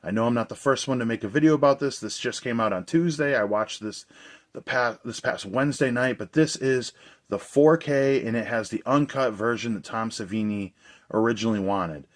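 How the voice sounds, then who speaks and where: Young dude a bit hoarse, rambly and excited, just talking about movies he collects. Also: mid-range voice, fairly quick. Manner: chatty, rambling, enthusiastic. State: slightly hoarse. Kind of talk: casual video review.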